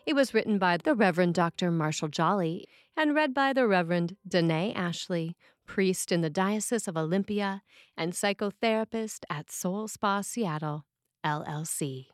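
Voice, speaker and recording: A woman priest reading a scripted sermon in a measured, expressive way. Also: on the low side, dry recording, clean studio sound, faint music behind.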